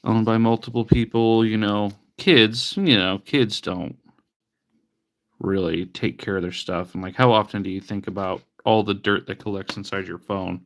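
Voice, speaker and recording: Male streamer, low and gravelly, wry casual patter, a little stuffy-nosed. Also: fairly even intonation, moderate pace. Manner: chatty, wry, mock-disgusted asides. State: sniffly from allergies. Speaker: livestreamer repairing retro handhelds. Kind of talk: casual live-stream chat while working.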